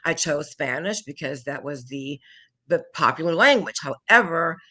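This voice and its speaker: A woman's voice: low voice, animated, moderate pace, pushed and bright. A woman, chatting animatedly as an interview guest about teaching languages, laughing often and slipping into French. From Houston, Texas. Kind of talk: casual interview chat.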